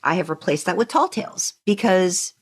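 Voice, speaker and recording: Woman, deep-pitched voice, podcast co-host, casual fan talk, enthusiastic, emphatic delivery. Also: lively intonation, normal speed, slightly rough.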